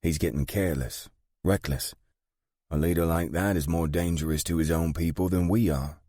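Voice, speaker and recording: A man voicing a tough, foul-mouthed gang matriarch and grandmother with a British accent, blunt and sharp. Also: low voice, steady tone, normal speed, no room sound, studio quality, voice pushed up, lightly acted. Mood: grave, weary.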